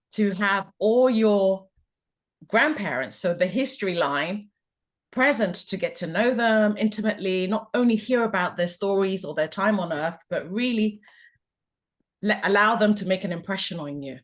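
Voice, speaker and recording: A woman from Ghana hosting a podcast, asking reflective, measured questions. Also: fairly low pitch. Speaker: podcast host. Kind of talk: interview conversation.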